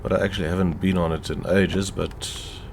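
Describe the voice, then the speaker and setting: Deep-voiced Afrikaans-accented man, easygoing. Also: vocal fry. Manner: easygoing, unhurried, pauses with frequent fillers. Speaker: streamer. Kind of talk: casual livestream chat. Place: home, seated in a chair. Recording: steady truck engine and road noise.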